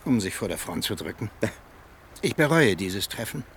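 A haughty old military man in a radio drama, curt and full of contempt. His intonation is animated over a faint background noise. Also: fairly low pitch, moderate pace, gravelly, heavily acted. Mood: contemptuous. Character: haughty, hostile old male field marshal. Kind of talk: German radio drama dialogue.

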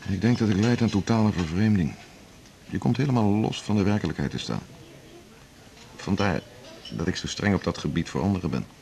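Picture a weary, workaholic middle-aged hospital chief, wry and self-mocking as he confides over dinner. His voice sits very low, with a gravelly rasp. The delivery is measured, in 1980s radio-play style. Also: lively intonation, darkish tone. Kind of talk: 1980s radio drama acting.

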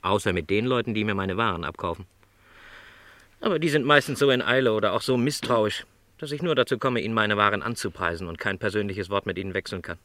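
A man playing a lonely, middle-aged travelling salesman in a radio play, polite, gentle and resigned, reflecting hesitantly. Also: lowish voice, fast, no room sound. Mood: wistful, reflective. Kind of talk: German radio play dialogue.

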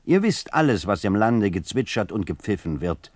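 Deep, sardonic poet. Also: male voice, sing-song, moderate pace, darkish tone, no room sound. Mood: biting irony. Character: sardonic male poet addressing the powerful. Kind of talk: literary recitation of a preface.

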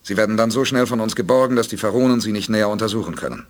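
An actor playing a resolute, calm spaceship commander who gives precise orders in a 1980s radio play. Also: male voice, on the low side, rapid-fire, close to an everyday voice. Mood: calm authority.

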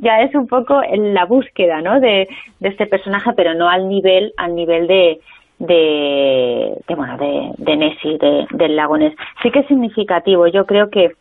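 Woman, Spanish accent, lowish voice, hesitant, phone-line sound. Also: expressive, normal speed, creaky. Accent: Spanish (Spain). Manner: hesitant, many restarts, laughs often. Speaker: guest author. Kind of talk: phone interview.

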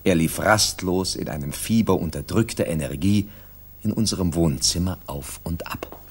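Radio drama narrator: composed, literary gentleman, very low and slightly rough in tone. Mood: measured, atmospheric. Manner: measured, literary storytelling. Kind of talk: scripted narration in a 1960s radio play.

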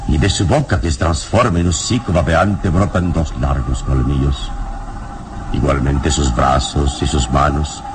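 A man acting a calm, wise, commanding adventurer hero in an old radio serial, speaking deliberately and dramatically. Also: rumbling low, noisy background. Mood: grave, explanatory.

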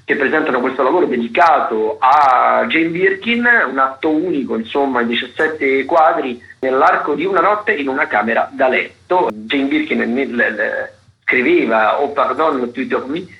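A man runs through the week's theatre listings in a relaxed, halting way. He leans on fillers and restarts, and his mid-pitched voice comes through a dull, lo-fi radio broadcast. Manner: hesitant, many fillers and restarts. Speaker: theatre critic giving weekly listings. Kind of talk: casual radio listings chat.

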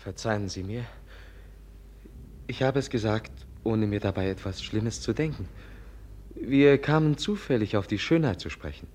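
Casting: male actor as guileless young prince, low mellow voice, courteous and hesitant, 1950s radio play. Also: moderate pace, long pauses, a little hiss or hum, close to an everyday voice. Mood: apologetic. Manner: hesitant, polite, earnest. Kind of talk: 1950s German radio drama acting.

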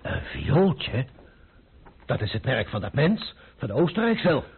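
A man's voice: mid-range voice, wildly swooping pitch, slow pace, vocal fry, breathy edge, phone-quality sound, heavily acted. A male actor playing a desperate, submissive revolutionary underling who pleads, in a radio play. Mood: shocked. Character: desperate, servile revolutionary underling, a frightened man.

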